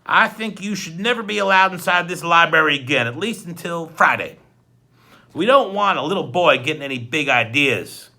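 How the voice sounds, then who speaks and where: A man voicing a sneering, threatening henchman in a storybook read-aloud, with a croaking voice and sharp, emphatic threats. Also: a little high, expressive, moderate pace, pitched above the performer's own voice, heavily acted. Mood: domineering.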